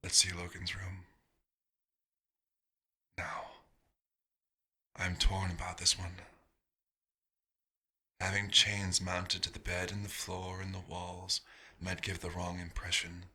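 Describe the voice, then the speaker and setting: A very deep, husky centuries-old vampire doctor acted by a man, musing in a soft ASMR monologue. He speaks very slowly with halting pauses. His tone is wistful and dryly fatherly, held in a narrow, even line. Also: full character voice. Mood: wry. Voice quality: husky, breathy.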